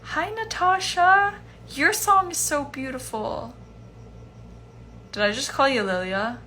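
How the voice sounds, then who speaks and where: A young woman in her twenties answering fan questions in a casual livestream from home, relaxed and chatty with lots of fillers. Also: high-pitched, takes it slowly, slight background noise. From Iceland. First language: Chinese. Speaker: musician hosting a livestream Q&A. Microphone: phone livestream.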